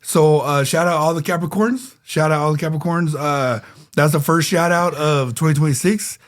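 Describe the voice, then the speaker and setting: A man co-hosting a laid-back podcast with a slightly higher voice. He rambles easily, circles back to repeat himself and leans on fillers. He is a bit under the weather. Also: steady tone, normal speed. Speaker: podcast co-host. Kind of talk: casual podcast chat.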